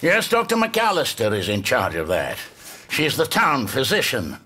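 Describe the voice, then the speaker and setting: An actor playing a heavy-set constable in his late fifties in an audio drama, gruff, wary and grudging. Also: medium pitch, huge pitch swings, sharp tone, a little hiss or hum. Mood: uneasy, grudging. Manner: slow, grudging, condescending. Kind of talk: full-cast audio drama dialogue.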